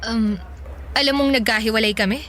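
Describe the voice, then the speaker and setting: A woman's voice: a little high, brisk, pushed and bright, a little hiss or hum. An actress playing a hurt, anxious young girlfriend with a Filipino accent, speaking hesitantly in a radio drama. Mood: wary.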